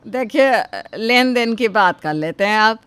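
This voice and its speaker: Woman acting careful groom's mom in a story drama, middle aged, coaxing n placating, highish lively voice. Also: measured pace, slight background noise. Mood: reassuring.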